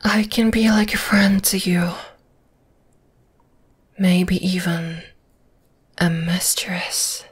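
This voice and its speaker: A woman voicing a possessive, gently dominating spider monster girl in an ASMR roleplay, in a slow, deliberate, breathy whisper. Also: fairly low pitch, steady tone, full character voice. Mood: possessive, coaxing. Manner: slow, deliberate, soothing yet menacing.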